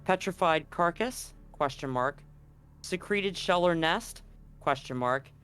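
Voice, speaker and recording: A man's voice: on the high side, expressive, takes it slowly, a bit bright. A man acting as game master, narrating a tabletop roleplaying game and reading handouts aloud.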